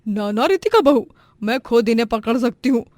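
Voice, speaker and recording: A woman playing a domineering, greedy, theatrical middle-aged mother-in-law in an animated moral story. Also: a little high, moderate pace, no room sound, lightly acted. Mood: haughty, bossy. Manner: theatrical, scolding, bossy.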